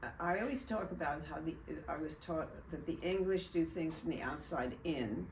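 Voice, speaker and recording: An older actress hosting a casual interview, musing slowly about acting with a swooping voice. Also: female voice, low voice, slight background noise. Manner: slow, musing, lively swoops. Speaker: host and actress. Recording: echoey, distant from mic.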